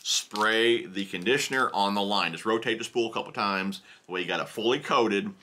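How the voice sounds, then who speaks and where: A man's voice: lowish voice, normal speed, pushed and bright. A man in his thirties chatting casually through a fishing tackle haul, rambling and thinking aloud at his desk in a small indoor tackle room. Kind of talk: casual unboxing haul video.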